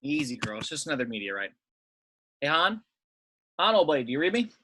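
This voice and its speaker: A man's voice: a little high, sing-song, brisk, pushed and bright, no echo, exaggerated voice. A man reading the part of an eager, dutiful young rebel pilot in an amateur table read. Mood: casual, soothing.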